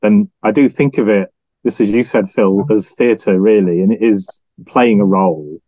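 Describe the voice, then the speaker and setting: A man's voice: on the low side, normal speed, warm tone, no echo, rough recording. A man, chatting casually as a panellist in a music discussion. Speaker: podcast panellist.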